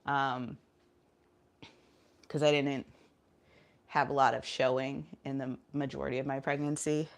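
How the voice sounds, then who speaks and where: A woman with a low voice, stuffy and nasal from a cold, chatting in an unhurried, low-energy way. She rambles with plenty of ums while squatting as she talks to her viewers. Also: creaky. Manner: talking while doing squats. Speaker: vlogger. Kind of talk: casual chat vlog, streaming live.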